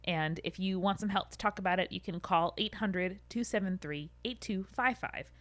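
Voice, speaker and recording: A woman's voice: medium pitch, moderate pace, no room sound. A woman talking casually as the co-host of a podcast.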